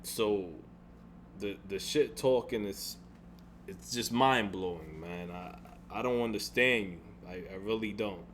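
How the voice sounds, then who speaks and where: A young man with a deep voice venting in a casual vlog, frustrated and rambling, restarting sentences and swearing. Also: a little slow, a bit bright, slight background noise. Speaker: gaming YouTuber.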